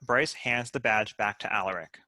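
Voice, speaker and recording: A man's voice: lowish voice, moderate pace, pushed and bright, no room sound. A man reading stage directions aloud as scripted narration for a comedy script.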